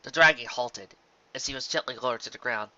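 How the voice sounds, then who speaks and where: A man reading a fan fiction story aloud as narrator, in an expressive storytelling style. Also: mid-range voice, fairly quick, dull audio. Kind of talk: expressive story narration with occasional casual asides.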